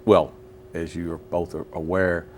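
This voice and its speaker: A man's voice: low-pitched, little pitch movement, a little slow, darkish tone, a little hiss or hum. A man hosting a casual interview on local television, chatty and given to repeating words as he searches for them. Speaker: host. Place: local TV studio.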